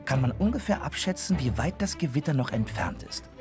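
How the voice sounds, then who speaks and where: A man's voice: medium pitch, sing-song, a little fast, music in the background. A man narrating a children's radio play from a script.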